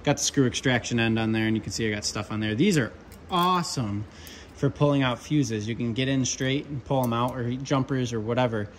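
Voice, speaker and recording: A young man, an HVAC controls tech, chatting casually through his tool bag at a workbench, relaxed with lots of ums and asides. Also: mid-range voice, fairly quick, slight background noise. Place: workshop with pegboard and workbench.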